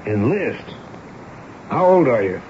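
A man's voice: mid-range voice, very animated. A man playing an authoritative, world-weary army major who commands a fort, in an old-time radio drama. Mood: stern, questioning. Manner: brisk, incredulous questioning of subordinates. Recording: muffled old radio recording, faint noise.

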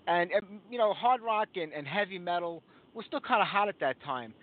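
A man's voice: high voice, expressive, normal speed. A man hosting a live rock radio show, chatting casually and easily with fillers as he interviews a guest. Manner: easygoing, chatty, with frequent fillers. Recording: lo-fi phone-like sound.

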